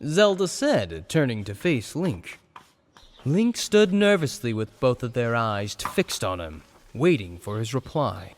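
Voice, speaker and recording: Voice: medium pitch, sing-song, measured pace, a little hiss or hum. A narrator reading a scripted story for an audio drama in storybook style.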